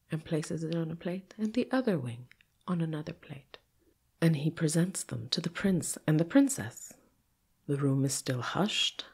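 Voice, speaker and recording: A woman storyteller narrating a folktale dramatically, with deliberate pauses and repetition that build suspense. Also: lowish voice, a little mellow, slightly rough.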